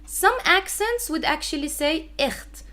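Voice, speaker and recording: Woman, Levantine Arabic accent, native Arabic speaker, slightly high voice, language teacher, emphatic explaining, live online lesson. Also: normal speed.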